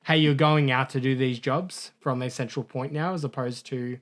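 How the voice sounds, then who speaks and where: A man's voice: mid-range voice, fairly even intonation, moderate pace. A man co-hosting a gaming podcast, rambling through a story in a casual way, correcting himself and laughing at his own mishaps. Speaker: podcast co-host.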